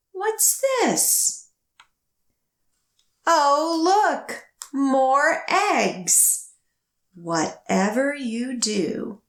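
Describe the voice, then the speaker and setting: Animated, higher-voiced woman reading storytime. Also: reverberant. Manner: encouraging, expressive, simple child-directed phrasing. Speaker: children's librarian, storytime host. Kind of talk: animated picture-book reading and storytime for young children.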